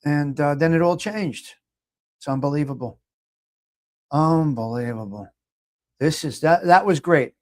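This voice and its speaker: A man's voice: fairly high pitch, a little slow, pauses often. A man with a New York accent hosting a casual live chat show, talking emphatically, often repeating himself and restarting. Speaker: livestream host. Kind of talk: casual live chat, reading viewer comments aloud.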